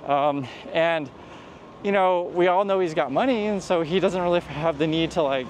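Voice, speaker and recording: A man's voice: fairly high pitch, moderate pace, slight background noise. A man vlogging while walking outside, giving earnest advice in a rambling way, with many restarts. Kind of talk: casual vlog commentary while walking. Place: outdoors on a street near traffic.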